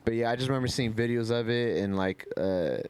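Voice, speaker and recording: A man's voice: mid-range voice, fairly quick, a little mellow, smooth. A man in casual podcast conversation, rambling and slangy in the way he talks. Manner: rambling, slangy, frequent "you know" and "man". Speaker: podcast co-host.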